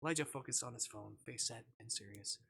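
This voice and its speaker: A man's voice: mid-range voice, fast talker, creaky, pushed and bright. A man streaming a visual novel, reading narration aloud and thinking through choices hesitantly as he plays. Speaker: game streamer.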